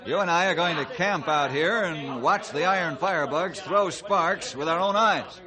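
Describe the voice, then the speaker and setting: An actor playing a decisive, good-natured forest ranger leader in a 1950s radio adventure. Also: male voice, fairly high pitch, normal speed, a crowd in the background, close to an everyday voice. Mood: determined. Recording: noisy 1950s radio recording.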